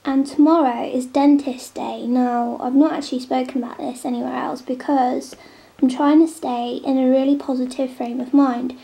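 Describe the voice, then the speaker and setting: A young woman with a cold, chatting casually while drawing at home, often dropping into a soft near-whisper. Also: high-pitched, steady tone, moderate pace, gravelly. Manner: chatty, drifting between soft near-whispers and normal talk. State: snotty with a cold. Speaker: vlogger drawing on a tablet. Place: home, at a table indoors. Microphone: camera on the table.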